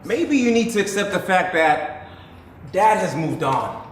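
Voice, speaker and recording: A man's voice: high-pitched, sing-song, unhurried. A man acting a breezy, self-satisfied playboy son in a stage play. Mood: nonchalant. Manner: flippant, self-satisfied, theatrical. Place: indoor theatre stage, recorded from far off. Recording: echoey theatre, distant mic, faint noise.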